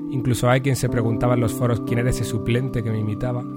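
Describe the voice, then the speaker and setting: A man from Andalusia hosting a solo podcast, speaking with a neutral Castilian Spanish accent that softens his Andalusian one, in a measured, articulate way with careful diction. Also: medium pitch, level tone, loud music behind the voice. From Andalusia, Spain. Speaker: podcast host. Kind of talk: solo podcast monologue answering listener questions.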